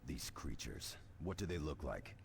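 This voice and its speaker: An actor playing a brooding, suspicious veteran scout sergeant with terse, ominous delivery in a video game. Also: male voice, rumbling low, big pitch swings, a little slow, exaggerated voice. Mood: brooding, wary. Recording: game audio with clear background noise.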